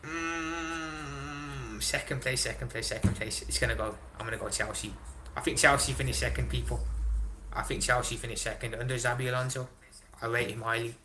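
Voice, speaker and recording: Excitable young man. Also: medium pitch, normal speed, a little hiss or hum. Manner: excitable, swears, London slang like innit and big up. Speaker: livestream host reading chat. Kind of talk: casual live-stream chat.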